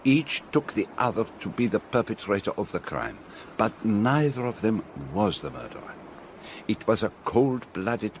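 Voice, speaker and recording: A shrewd, commanding Belgian detective in a radio drama. The voice is low and French-accented, swinging widely in pitch with formal, precise and theatrical phrasing. There is faint noise behind it. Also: male voice. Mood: grave, explaining. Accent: French-speaking Belgian. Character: shrewd middle-aged male detective, commanding, courteous, excitable in crisis.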